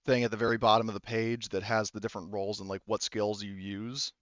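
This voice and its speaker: Low, smooth-voiced man running a game. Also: level tone, quick pace, a bit bright, dry recording, highs cut off. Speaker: game master. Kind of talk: running a tabletop roleplaying game, conversational explaining.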